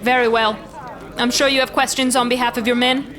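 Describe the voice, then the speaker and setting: A woman's voice: on the high side, flat delivery, fairly quick, a bit bright, close to an everyday voice. A woman playing a noble elven commander in a fantasy audio drama, speaking in formal, stately oratory. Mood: formal, commanding.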